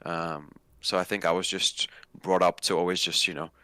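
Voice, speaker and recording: A young man in his twenties from Nigeria chats casually in an interview. His voice sits fairly low and is smooth and resonant, captured close and dry. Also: level tone, normal speed, pushed and bright, dry recording. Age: 20s. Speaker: interview guest, novelist.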